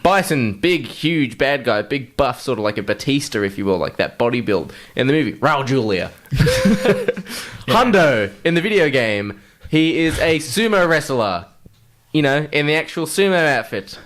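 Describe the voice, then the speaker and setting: A man's voice: medium pitch, very animated, normal speed, a bit bright. A man co-hosting a podcast, chatting casually and comically about films in a blunt, sweary way. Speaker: podcast co-host. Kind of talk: casual comedic film chat.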